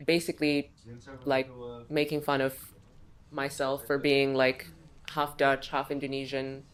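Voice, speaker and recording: A woman's voice: rumbling low, level tone, measured pace. A woman who grew up in Singapore, a songwriter and content creator, talking openly as a guest in a casual podcast chat, filling her sentences with "like". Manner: open and chatty, many fillers like "like".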